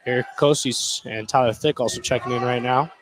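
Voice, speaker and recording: A man's voice: medium pitch, fairly even intonation, a little fast, slight background noise. A man giving casual, slangy color commentary on a live basketball game. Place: indoor gymnasium, courtside.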